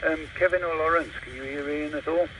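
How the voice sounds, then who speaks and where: A man's voice: a little high, steady tone, fairly quick. A man running a net over CB radio, chatting and calling in other stations. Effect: heard over CB radio. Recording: very noisy, distant CB radio reception.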